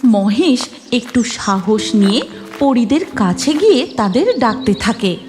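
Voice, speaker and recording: A woman's voice: on the high side, lively intonation, takes it slowly, music in the background. A woman narrating a scripted fairy tale as a storyteller.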